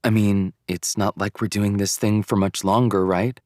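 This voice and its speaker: A man's voice: fairly low pitch, fairly even intonation, normal speed, darkish tone, no echo, clean studio sound, natural voice. A man voicing a hurt, accusing teenage girlfriend in an audiobook.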